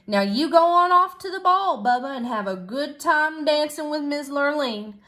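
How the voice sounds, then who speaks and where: Storybook fairy cow, played by a woman: female, folksy, kindly, high and swooping, drawing out her words. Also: animated, bright, forceful tone. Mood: encouraging, cautioning. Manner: drawn-out, folksy country talk.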